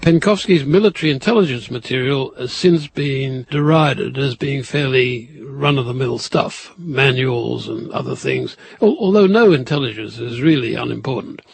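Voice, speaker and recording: A man with a husky voice giving thoughtful, deliberate historical commentary in an emphatic, explanatory way. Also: medium pitch, sing-song, slight background noise.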